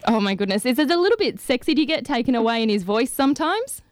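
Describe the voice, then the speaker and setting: A woman hosting a breakfast radio show, quick and teasing in a morning chat. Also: on the high side, big pitch swings, no echo.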